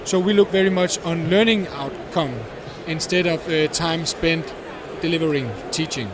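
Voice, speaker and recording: A man giving explanatory interview answers at a busy conference, halting and searching for words as a startup representative. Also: fairly high pitch. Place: busy tech conference venue. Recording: noisy event hall, steady din.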